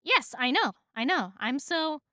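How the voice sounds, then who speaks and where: A woman's voice: high-pitched, sing-song, a little slow, pushed and bright, no echo, studio quality, voice pushed up, exaggerated voice. A woman voicing a nervous, awkward young male cartoon bee who stammers and apologizes. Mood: flustered, apologetic. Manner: stammering, self-arguing, apologetic.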